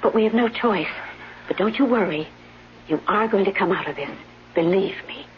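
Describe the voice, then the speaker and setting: A woman's voice: medium pitch, normal speed, lightly acted. Radio drama part: woman playing protective, soothing old nurse, earnest delivery; dull, lightly hissing vintage broadcast. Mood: reassuring. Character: motherly, loyal old nurse, protective and reassuring. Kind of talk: 1970s radio drama acting.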